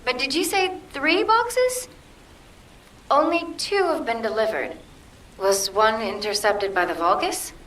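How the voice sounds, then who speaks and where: An actress playing a businesslike researcher in a video game, speaking in a matter-of-fact, inquiring way. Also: female voice, fairly high pitch, lively intonation, a little slow, fairly bright tone. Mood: puzzled, businesslike. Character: businesslike female researcher on the military radio. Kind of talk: dramatic video game cutscene acting. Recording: echoey, distant, hissy transmission.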